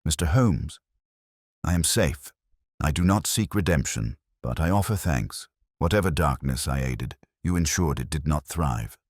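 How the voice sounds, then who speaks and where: A male narrator reads as a secretive Victorian woman giving solemn thanks in an audiobook. The voice is very deep, with expressive swings in pitch. The delivery is formal and deliberate, and the sound is dry. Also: dry recording, full character voice. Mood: solemn gratitude. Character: grateful, secretive Victorian woman writing a farewell letter.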